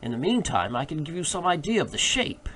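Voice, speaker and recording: A man voicing a proud, eager, easily ruffled gentleman naturalist with emphatic, formal diction in a story reading. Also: a little high, sing-song, a little fast, a little hiss or hum. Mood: enthusiastic.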